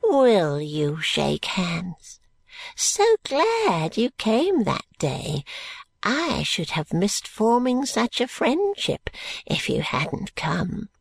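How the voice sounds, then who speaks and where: A woman's voice: mid-range voice, huge pitch swings, very slow, gravelly, breathy edge, no room sound, full character voice. A woman voicing a breathless, wheedling and spiteful old male moneylender in a storybook reading. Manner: fawning endearments breaking into angry curses.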